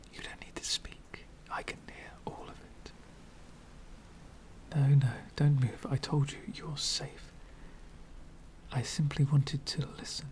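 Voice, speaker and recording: A man playing a lonely, courteous, centuries-old vampire in an ASMR roleplay, speaking formally and wistfully in soft, breathy whispers. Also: medium pitch, natural voice. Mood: menacing, fascinated. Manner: formal, wistful, slow and deliberate.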